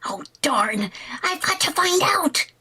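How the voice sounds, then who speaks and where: A woman's voice: high-pitched, sing-song, slow pace, bright, forceful tone, full character voice. A woman playing a playful, scheming, wheedling housewife in a 1940s-style radio comedy, coaxing and theatrical. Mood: eager, curious.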